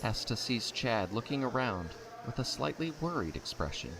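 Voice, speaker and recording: A man's voice: medium pitch, big pitch swings, normal speed. A man reading scripted narration for an audio drama. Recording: noisy background ambience.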